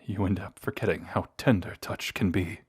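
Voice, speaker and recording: A man playing a brooding, courteous vampire in an intimate audio roleplay, speaking to a lost love in formal, measured phrases. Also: low-pitched, steady tone, breathy edge. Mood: tender, longing.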